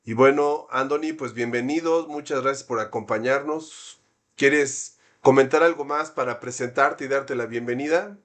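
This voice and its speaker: A man hosting an academic seminar, introducing a guest in a friendly, measured way. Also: medium pitch, a bit bright. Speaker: seminar host.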